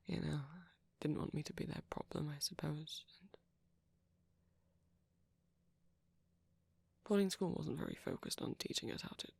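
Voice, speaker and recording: A woman's voice: low-pitched, vocal fry, husky, dry recording, heavily acted. Woman acting a shy, whispery young male vampire. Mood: wistful, hesitant. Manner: hesitant, stammering, softly whispery. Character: shy gentle young male vampire, anxiously considerate boyfriend. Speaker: audio roleplay performer. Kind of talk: ASMR boyfriend roleplay.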